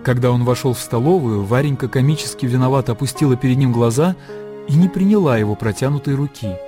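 Мужчина читает литературное повествование от автора в радиоспектакле. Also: male voice, medium pitch, fast talker, music in the background. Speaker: narrator. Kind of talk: scripted literary narration in radio drama.

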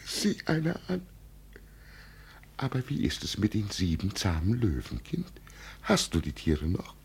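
Old self-styled emperor, creaky voice, wide swings of pitch, tremulous grandeur, 1950 radio play. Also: male voice, huge pitch swings, unhurried, exaggerated voice. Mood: proud, dreamy. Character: deluded old father who believes himself an emperor. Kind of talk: 1950 German radio drama acting. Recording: noisy vintage 1950 radio recording.

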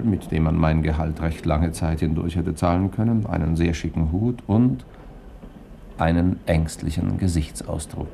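Wry journalist sleuth, low creaky voice, dry irony, old radio crime serial. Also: male voice, mellow. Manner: ironic, dryly humorous. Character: wry journalist, flippant amateur sleuth narrating his adventure. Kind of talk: 1960s German radio crime drama, narration and dialogue. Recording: noisy old 1960s radio recording.

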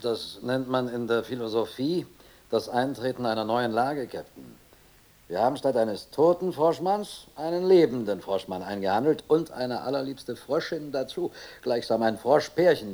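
A man plays a pedantic officer in a radio play, with a medium-pitched male voice. He orates precisely and coldly, with a sardonic edge. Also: a little mellow, natural voice. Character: cold, pedantic philosophizing officer serving the captain. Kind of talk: German radio play acting.

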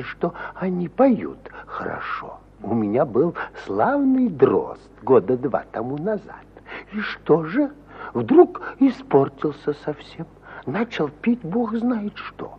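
An actor playing a garrulous, hospitable provincial judge who is easily astonished, in a husky voice, in 1950s Soviet radio theatre style. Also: male voice, heavily acted. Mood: rambling, chatty. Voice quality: husky, high-pitched. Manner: rambling, drawn-out, swooping exclamations. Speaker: actor in an audio play. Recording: muffled 1950 radio play recording.

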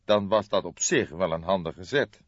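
A shrewd, grey-haired old detective, played by a male audiobook reader, calm and deliberate. The voice is very low and measured, recorded close and dry with slightly dulled sound. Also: no echo, lo-fi sound. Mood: thoughtful. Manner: measured, deliberate, soft-spoken.

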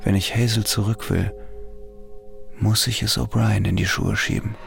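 An actor playing a guarded, hardened male ex-convict in a radio play, speaking tersely with a husky, gravelly voice. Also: fairly low pitch, little pitch movement, moderate pace, soft background music. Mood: brooding. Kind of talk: radio play dialogue and inner monologue.

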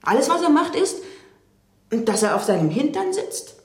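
An actress playing a reflective woman recalling a difficult man in a radio drama interview, speaking in a measured way. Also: high-pitched, big pitch swings, darkish tone, reverberant. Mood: emphatic quoting.

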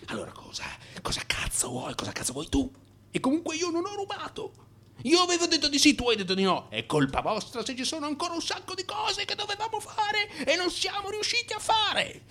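A man's voice: very high voice, very animated, brisk, pushed and bright, pitched above the performer's own voice, heavily acted. A male actor voicing a whiny, self-justifying politician who indignantly blames others, in satirical radio theatre. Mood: indignant, whining.

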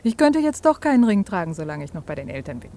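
An actress playing a tender, anxious young bride in a serious radio drama, speaking softly and haltingly. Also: female voice, lowish voice, sing-song. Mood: dazed, wistful. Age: young adult.

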